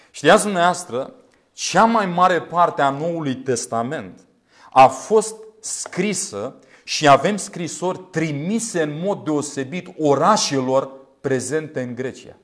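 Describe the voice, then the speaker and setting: A man in his thirties from Romania preaching a sermon in an earnest, emphatic way, using deliberate repetition. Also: a little high. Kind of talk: sermon with scripture commentary. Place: small indoor room, standing at a glass pulpit. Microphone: lapel mic.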